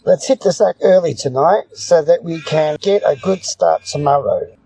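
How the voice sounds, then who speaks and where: Higher-pitched husky man reading lessons. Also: moderate pace, warm tone, poor audio. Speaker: English lesson reader. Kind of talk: scripted read-aloud, explaining idioms and passages.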